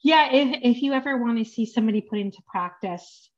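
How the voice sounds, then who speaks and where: A woman's voice: on the high side, brisk, dull audio. An American woman hosting a podcast, chatty and full of restarts, laughing between phrases. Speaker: podcast host. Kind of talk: podcast interview.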